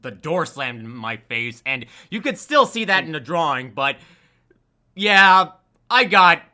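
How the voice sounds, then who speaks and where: A man's voice: a little high, sing-song, unhurried, sharp tone. A man chatting casually about his creative work, rambling and often restarting his sentences. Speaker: audio drama voice actor and editor. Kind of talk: casual chat.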